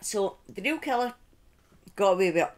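A middle-aged woman telling a true-crime story informally on a livestream, speaking deliberately with pauses and emphatic repetition, close to a desk microphone. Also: medium pitch, lively intonation. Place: small indoor room at home. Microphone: close desk microphone on a boom arm.

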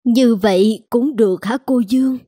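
A woman's voice: fairly high pitch, moderate pace, dark tone, dry recording, studio quality, natural voice. A woman playing a doting, humble elderly housekeeper in a cartoon, with a coaxing manner. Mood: humble, pleading. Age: older. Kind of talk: scripted cartoon dialogue.

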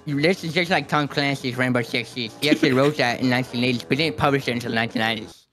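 A man's voice: medium pitch, fairly bright tone, lightly acted, poor audio. Man doing a smug, pedantic military know-it-all. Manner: pedantic, halting, self-important. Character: smug, boastful geeky male military contractor know-it-all. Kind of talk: improvised comedic roleplay.

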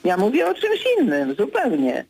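An older man in his sixties, a political commentator in a radio studio, speaking in a measured way with frequent hesitations, pressing harder when he corrects someone. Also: sits high, huge pitch swings, gravelly, darkish tone, breathy edge, low-quality recording. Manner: measured, hesitant with many fillers, grows insistent when correcting.

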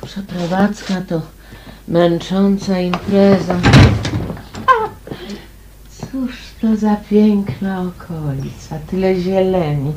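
An actress playing a polite woman newly moved in, in a radio drama, unhurried and often repeating words as if thinking aloud. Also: lowish voice, background noise. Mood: musing, content.

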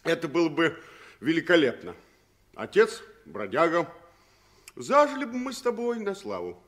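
A man's voice: on the high side, big pitch swings, moderate pace, fairly bright tone. A man playing a good-humoured, protective vagabond with a folksy, mock-grand manner in a 1960s radio play. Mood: jovial.

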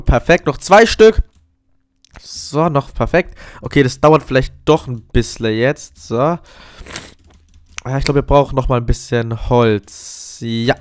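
A man with a cold, giving chatty, upbeat commentary while playing a video game and often addressing his viewers as friends. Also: mid-range voice. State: has a cold. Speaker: gaming YouTuber. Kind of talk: casual Let's Play commentary.

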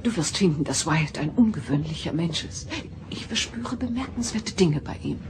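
A woman's voice: sits low, lively intonation, normal speed. An actress playing a haughty, meddling middle-aged alien mother in a sci-fi audio drama, theatrical and condescending. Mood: imperious.

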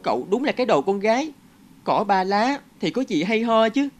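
A woman voicing a superstitious young schoolboy in a cartoon, plaintive and expressive. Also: mid-range voice, normal speed, natural voice. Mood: glum complaining. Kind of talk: scripted cartoon dialogue. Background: background music.

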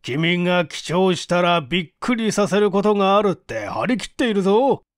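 A man's voice: high voice, very animated, moderate pace, dry recording, clean studio sound. A man voicing a genial, teasing male company president in audiobook dialogue, familiar and wry.

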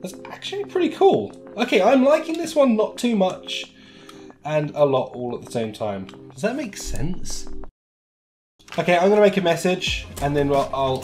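A man's voice: medium pitch, a little mellow. A man in his thirties chatting casually to camera as he reviews products, in a joking, rambling, mock-dramatic way. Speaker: vlogger reviewing novelty products. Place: small room at home, indoors.

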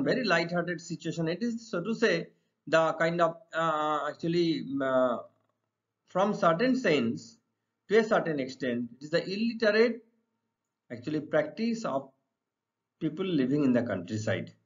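Indian man teaching, highly animated pitch. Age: middle-aged. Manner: deliberate, repetitive, emphatic phrasing. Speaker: English teacher. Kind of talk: exam lesson explained to students. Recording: dull, lo-fi sound.

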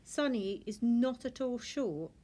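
A woman reads a children's picture book aloud with expressive, deliberate storytelling delivery. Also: a little high. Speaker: storybook reader. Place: indoors in a furnished room at home.

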